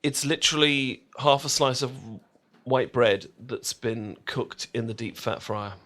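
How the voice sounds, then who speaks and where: A man's voice: medium pitch, a little slow, fairly bright tone. A man playing an affectionate, tea-loving English boyfriend in a romantic roleplay audio, talking in a hesitant, stammering, enthusiastic way with a British accent. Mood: enthusiastic, teasing. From England.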